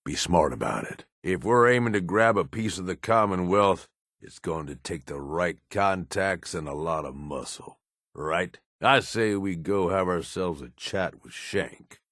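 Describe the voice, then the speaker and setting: An actor voicing a tough, cynical middle-aged American raider in a video game, gruff and folksy in a plain-spoken way. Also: male voice, low voice, animated, a little slow, fairly bright tone, no room sound, studio quality, full character voice. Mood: persuasive, scheming. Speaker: companion character in a video game. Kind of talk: acted video game dialogue.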